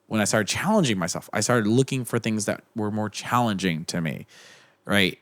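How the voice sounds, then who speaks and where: A man's voice: on the low side, fairly bright tone. A young man talking as a podcast guest in casual chat, rambling with many restarts and fillers. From United States.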